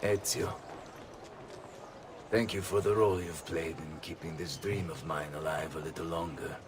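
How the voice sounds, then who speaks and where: An actor playing a stately, gracious ruler with a formal, measured manner in a game cutscene. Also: male voice, a bass voice, steady tone, noisy background. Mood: gracious, solemn. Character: stately, gracious male Florentine ruler and patron. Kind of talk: dramatic game cutscene acting.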